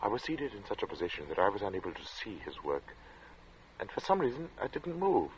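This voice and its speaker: A man acting as an uneasy, introspective gentleman who narrates an eerie tale in 1940s radio suspense style, measured and literate. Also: fairly low pitch, big pitch swings, slight background noise. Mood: watchful, measured. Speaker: narrator and protagonist. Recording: muffled old radio drama recording.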